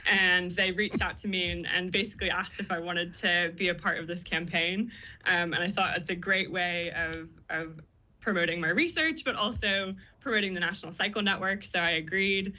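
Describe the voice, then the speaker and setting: A woman's voice: fairly low pitch, normal speed, pushed and bright, poor audio. A young woman guest in a radio interview, chatty and upbeat, laughing easily as she talks. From born in the US, raised in the Netherlands. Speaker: guest, PhD student.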